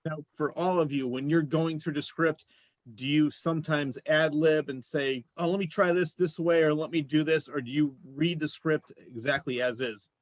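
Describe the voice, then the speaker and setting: A man hosts a casual interview in a fairly high-pitched voice. He asks his questions conversationally. The sound is dry, with no room around it. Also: fairly even intonation, normal speed, dry recording. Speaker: show host interviewing guests.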